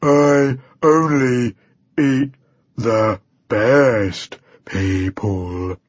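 A man voicing a huge, slow-witted, menacing dragon in a very deep bass, speaking with ponderous deliberation in a storybook reading. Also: no room sound, highs cut off. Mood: slow menace.

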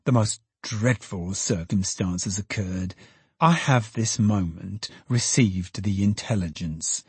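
A man voicing an agitated, secretive gentleman secretary in an audiobook, speaking gravely and deferentially. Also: lowish voice, slow, dry recording, full character voice. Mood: grave, confidential. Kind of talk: dramatized audiobook character voice.

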